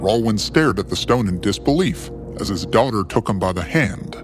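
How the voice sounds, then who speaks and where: Animated low-voiced male storyteller. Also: moderate pace, over music. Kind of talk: scripted fantasy story narration.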